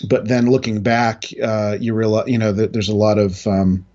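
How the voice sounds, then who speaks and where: A middle-aged American man from New England speaking in a halting, reflective way as a guest in a casual interview. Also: on the low side, level tone, dark tone, dull audio. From New England, United States. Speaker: interview guest, industry analyst.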